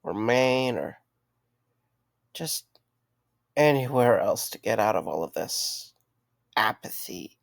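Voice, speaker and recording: A man acting as a young night guard in an audio drama, easygoing and wry. He talks conversationally with a sarcastic edge, recorded close and dry at a middling pitch. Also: lively intonation, slow pace, vocal fry, pauses often, no echo. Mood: casual, reflective.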